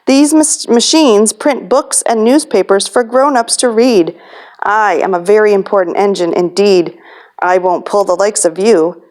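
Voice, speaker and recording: A woman's voice: medium pitch, a little slow, darkish tone, natural voice. A woman voicing a big, strong, self-important male freight engine in a storybook, pompous and indignant.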